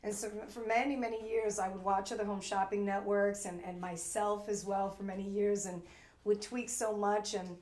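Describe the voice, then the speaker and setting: Shopping host, woman, 40s, East Coast accent, talkative, lots of fillers, mid pitch, roomy studio sound. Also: little pitch movement, normal speed, darkish tone. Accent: East Coast American. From New York. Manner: chatty, rambling with fillers and restarts. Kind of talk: live shopping stream chat.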